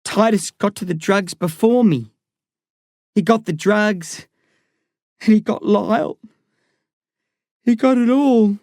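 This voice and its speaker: A man voicing an exhausted, frightened thirteen-year-old boy, his voice pitched up, weary and confused. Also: big pitch swings, measured pace, hardly any pauses, a little mellow, no room sound, clean studio sound, exaggerated voice. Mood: tired scared.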